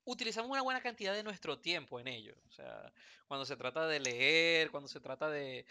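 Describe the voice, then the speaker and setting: A man's voice: a little high, expressive, fairly quick, pushed and bright, clean tone. A Venezuelan man in his thirties hosting a casual podcast, joking and rambling with many fillers and restarts. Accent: Venezuelan Spanish. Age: 30s. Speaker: podcast host. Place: Houston, United States.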